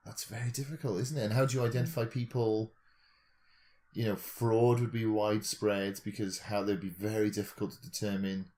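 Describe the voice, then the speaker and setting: A man's voice: fairly low pitch, little pitch movement, brisk, mellow. A man in a casual discussion, reasoning analytically aloud and posing rhetorical questions as a podcast co-host.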